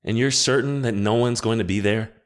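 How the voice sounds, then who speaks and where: A man's voice: mid-range voice, fairly quick, pitched above the performer's own voice, close to an everyday voice. A man voicing a determined young woman who has just become a werewolf, speaking directly and resolutely in an audiobook. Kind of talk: audiobook character voice.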